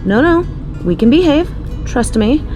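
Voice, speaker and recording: A woman's voice: high voice, very animated, measured pace, music in the background. A woman playing a flirtatious, teasing and protective female crime boss in an audio roleplay. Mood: flirty. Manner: teasing, playful, breathy asides. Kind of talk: audio roleplay acting with ASMR touches.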